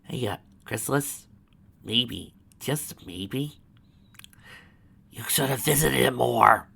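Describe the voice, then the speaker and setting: A man's voice: medium pitch, takes it slowly, creaky, pushed and bright, slightly rough, full character voice. A man voicing a playful, mischievous male chaos spirit with a bouncy, gleeful delivery. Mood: dreamy.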